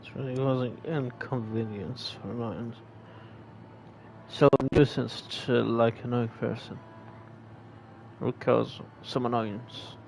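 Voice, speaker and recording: Gamer guy reading game text slow, gravelly voice, stops to explain words. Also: medium pitch, steady tone, a little mellow, slight background noise, low-quality recording. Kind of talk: casual gameplay commentary.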